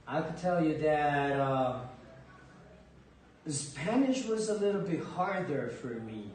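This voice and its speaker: A man in his thirties from Ecuador, a teacher answering interview questions in a relaxed, hesitant way, often repeating words as he thinks. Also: mid-range voice. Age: 30s. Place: school library with bookshelves, indoors. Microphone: far camera across a desk. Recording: echoey room from a distance, faint noise.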